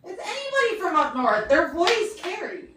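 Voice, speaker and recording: Bright Southern woman, echoey and distant. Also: very high-pitched, huge pitch swings, normal speed. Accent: Southern US with Irish traces. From American South. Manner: loud, carrying voice. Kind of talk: casual group video chat. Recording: echoey, distant, faint noise.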